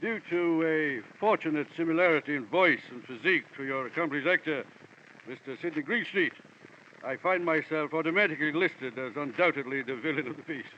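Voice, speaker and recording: Florid self-satisfied investigator, theatrical villain air, forceful tone, 1940s radio drama, dull hissy recording. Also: male voice, a little high, a little fast. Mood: amused, self-satisfied. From London. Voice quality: voice like a portly classic-film villain. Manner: florid, pompous, chuckling. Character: pompous, jovial, sly British gentleman investigator with theatrical villain air.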